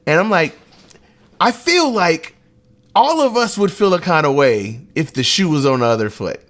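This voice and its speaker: A man's voice: on the high side, animated, moderate pace, fairly bright tone. An American man co-hosting a casual podcast, talking reflectively and hesitantly, with many fillers. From United States. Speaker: podcast co-host. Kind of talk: casual discussion.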